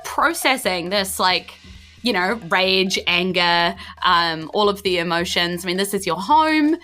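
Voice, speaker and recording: A woman, improvising narration as game master of a tabletop game podcast in a chatty, excitable, emphatic way. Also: on the low side, measured pace, soft background music. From New Zealand.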